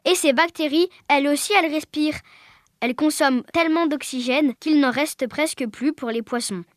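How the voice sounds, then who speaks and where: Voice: high-pitched, moderate pace, no echo, clean studio sound. A child reading a scripted radio presentation as a young presenter. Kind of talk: scripted radio quiz presentation, read aloud.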